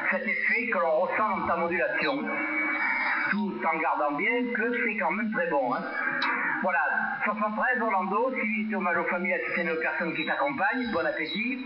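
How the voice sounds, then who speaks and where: A man, an amateur radio operator, chatting in a courteous, wordy way over a radio transmission. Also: high-pitched. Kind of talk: casual radio contact chat. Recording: very noisy, dull radio transmission.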